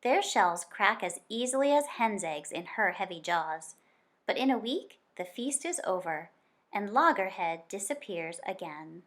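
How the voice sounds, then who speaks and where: A woman reading a children's nonfiction picture book aloud like a teacher, in an expressive, deliberate storytelling manner. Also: medium pitch.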